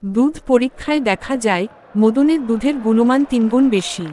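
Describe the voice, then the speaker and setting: A woman narrating a cartoon story in a plain, even storytelling manner. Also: on the high side, normal speed, a little hiss or hum.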